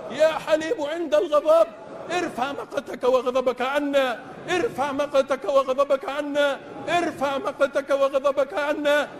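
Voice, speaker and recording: A man's voice: very high-pitched, flat delivery, moderate pace, a faint crowd. A man leading a congregational supplication as imam, pleading with passion in chanted, repeated phrases. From Tunisia. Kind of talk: Islamic supplication prayer in classical Arabic, chanted oratory. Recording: long echoing reverb of large space.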